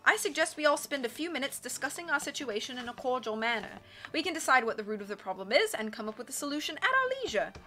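A woman's voice: sits high, sing-song, fast, sharp tone, soft background music, voice pushed up, exaggerated voice. A woman voicing a deceitful, insistent man who leads an island in a storybook. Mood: placating. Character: deceitful island leader, man, placating and accusing.

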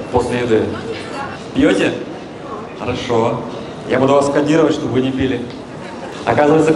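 A man with a slightly high voice lectures informally on health from a stage. He explains in colloquial language and addresses his listeners directly. The sound is echoey, with clear noise from the large exhibition hall around him. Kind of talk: informal public lecture to an audience. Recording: echoey, noisy expo hall through a PA.

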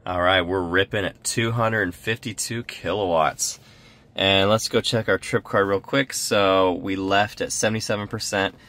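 A man's voice: low-pitched, pushed and bright. A man casually chatting to viewers in a road trip vlog, upbeat and talkative, speaking from inside his car. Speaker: vlogger. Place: inside a parked or moving car.

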